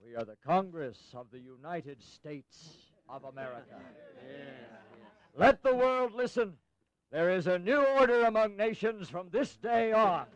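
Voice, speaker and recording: An actor playing a solemn, rousing American congressional orator of the founding era in a historical audio play, declamatory in manner. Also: male voice, high-pitched, sing-song, a little slow. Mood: rousing, proud. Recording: slightly dull 1980s audio recording.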